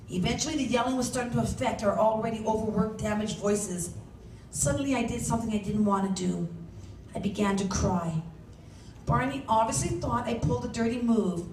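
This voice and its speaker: A woman reading a first-person story aloud as its author, with an animated, dramatic delivery. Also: medium pitch, normal speed. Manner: animated, acts out shouted dialogue. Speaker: author reading from their own novel. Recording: roomy, distant mic, faint background noise.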